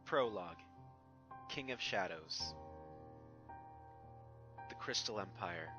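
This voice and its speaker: A man's voice: deep voice, animated, takes it slowly, music in the background. A man who reads fan fiction aloud, in casual introductions and narrated story passages.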